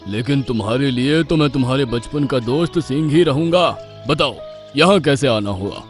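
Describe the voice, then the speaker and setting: A man's voice: mid-range voice, moderate pace, faint music behind, natural voice. An actor playing a proud lion king of the jungle, hearty and trusting, in a children's cartoon. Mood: generous. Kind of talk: Hindi children's cartoon voice acting.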